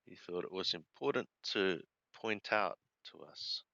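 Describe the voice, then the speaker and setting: A man reading scripture aloud and translating it phrase by phrase, in a deliberate, halting way with careful enunciation. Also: low voice, animated, vocal fry, pushed and bright, no room sound, lo-fi sound. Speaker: Bible reader and translator.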